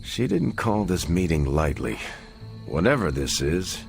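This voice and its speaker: Game commander: serious old hand, deep creaky voice, direct delivery over music and crickets. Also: male voice, very animated, a little slow, full character voice. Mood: solemn. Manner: serious, plain-spoken. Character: seasoned male leader, serious, low-voiced. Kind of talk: video game cutscene acting. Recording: music clearly behind, night insects.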